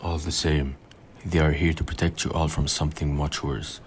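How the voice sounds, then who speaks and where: Man playing a very deep, formal government minister. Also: flat delivery, moderate pace. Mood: grave. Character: older male government minister, courteous and grave. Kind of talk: amateur audio drama acting. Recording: faint background noise.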